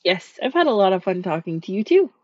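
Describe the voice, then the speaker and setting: Soft-spoken dream eater spirit, voiced by a woman. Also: mid-range voice, sing-song, a little fast, dry recording, dull audio. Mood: gentle, soothing. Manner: soft, gentle, soothing. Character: sweet shy female dream eater spirit. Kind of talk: ASMR sleep-aid roleplay.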